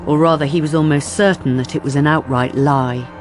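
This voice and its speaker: A male narrator of a crime radio drama, reading scripted prose in a fairly high voice. Prominent music runs behind him. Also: fairly even intonation, fairly quick.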